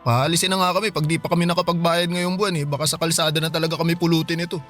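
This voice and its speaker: A man's voice: on the high side, level tone, rapid-fire, a bit bright, faint music behind, close to an everyday voice. Man playing a broke, pleading younger brother. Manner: defensive, pleading.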